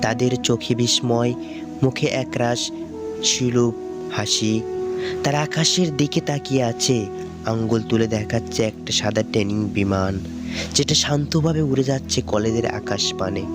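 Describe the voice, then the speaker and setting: A man with a Bangladeshi accent narrates a cartoon story from a script. His mid-pitched voice sits over clear background music. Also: measured pace. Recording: music under the voice.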